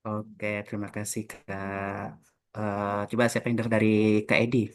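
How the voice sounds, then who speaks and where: A young man from Jakarta hosting a casual group podcast, friendly and relaxed, often pausing on "eh". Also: on the low side, clear, resonant tone. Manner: friendly, relaxed, frequent filler "eh". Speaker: podcast host.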